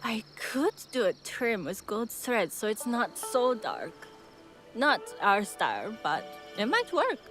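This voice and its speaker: An actress playing a brisk, proud kimono maker in a video game, talking like an expressive, matter-of-fact saleswoman. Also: a little high, music in the background. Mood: eager to please. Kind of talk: video game cutscene acting.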